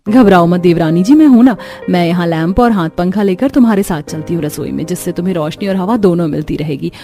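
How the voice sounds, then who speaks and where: A woman's voice: fairly low pitch, fast, dark tone, soft background music, close to an everyday voice. A woman voicing a caring, earnest elder sister-in-law in a cartoon family story. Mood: reassuring. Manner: soothing, earnest.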